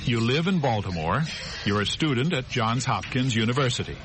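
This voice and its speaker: An actor playing a friendly man in a scripted language-course dialogue, asking questions slowly and clearly. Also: medium pitch, lively intonation, background noise, lightly acted. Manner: slow, clear, teacherly questioning. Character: friendly male American conversation partner.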